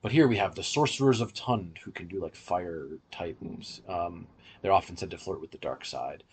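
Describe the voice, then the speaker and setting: A man's voice: deep voice, moderate pace, slight background noise. A man hosting a review podcast, explaining things in a casual, conversational way. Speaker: podcast host reviewing audio dramas.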